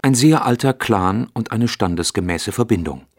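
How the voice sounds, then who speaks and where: A man's voice: fairly low pitch, animated, moderate pace, faint music behind. A man announcing headings and dates for a radio play in a formal, factual, scripted delivery.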